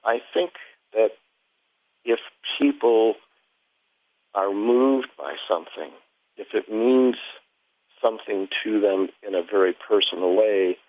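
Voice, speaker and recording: A man speaking as an interview guest over a thin telephone line, explaining reflectively in halting, thoughtful pieces. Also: on the low side, no room sound.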